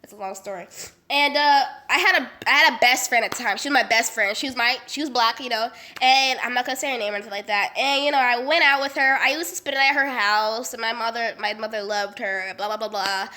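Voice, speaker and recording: A young woman with a high voice tells a story in a casual vlog. Her voice is bright, with a stuffy, nasal sound from a cold. She talks in a chatty, animated way, with plenty of slang and fillers. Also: fast talker. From Las Vegas. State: sick, congested and nasal. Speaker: vlogger.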